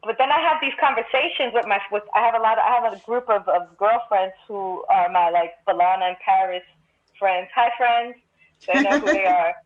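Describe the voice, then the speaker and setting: A woman's voice: medium pitch, brisk. Reflective woman on a tinny line. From Brooklyn, New York, United States. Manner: thoughtful, reflective, talks through ideas with many restarts. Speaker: podcast guest. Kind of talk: casual podcast conversation. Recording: tinny phone-like remote call line.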